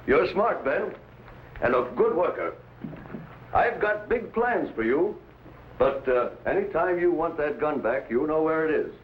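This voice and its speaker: An actor playing an earnest, proud landowner and boss in an old adventure drama, speaking with emphatic authority. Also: male voice, fairly high pitch, animated, a little slow. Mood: fatherly, firm. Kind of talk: vintage adventure drama acting. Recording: dull, noisy vintage drama soundtrack.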